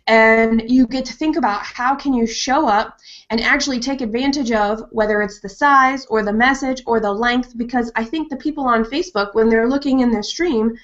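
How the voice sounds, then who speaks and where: A middle-aged woman with a fairly high voice lays out her point to a panel in long sentences. The audio is a bit dull, like a video call. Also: moderate pace. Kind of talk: explanatory panel discussion. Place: home room with bookshelves, video call. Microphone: earbud headset on a video call.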